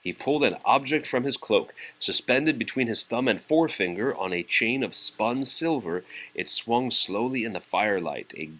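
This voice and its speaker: Bright-voiced man reading a storybook. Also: medium pitch, lively intonation, normal speed, few pauses, low-quality recording. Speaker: storybook reader to a child. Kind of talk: reading a children's storybook aloud.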